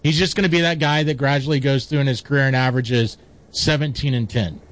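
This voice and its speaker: A man's voice: mid-range voice, fast. A man with a nasal voice giving opinionated takes on sports radio, talking in long, rambling sentences. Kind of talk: casual sports talk.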